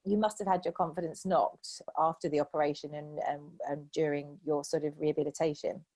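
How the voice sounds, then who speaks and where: A woman hosting a casual interview chat, her voice sitting a little low. She asks her questions in an encouraging, enthusiastic way, warmly affirming her guest's answers. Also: fairly even intonation, a little fast. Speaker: podcast host.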